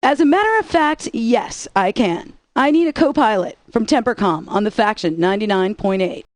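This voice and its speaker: A woman's voice: medium pitch, animated, moderate pace, no echo, close to an everyday voice. An actress playing a wry, upbeat alternative-rock radio DJ woman in a video game, introducing songs with sarcastic patter. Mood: playful, wry.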